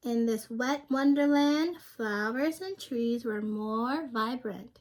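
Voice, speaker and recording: A young woman reading a storybook aloud to children, speaking simply and expressively and prompting her listeners with questions. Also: high-pitched. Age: young adult.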